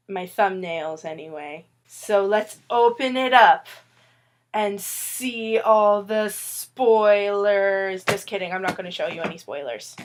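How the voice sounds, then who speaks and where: Sick, rambling woman artist. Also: medium pitch. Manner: loopy, rambling, self-mocking. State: sick with a cough. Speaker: webcomic artist sharing tips. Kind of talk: casual tutorial talk to viewers. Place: indoors at a wooden table.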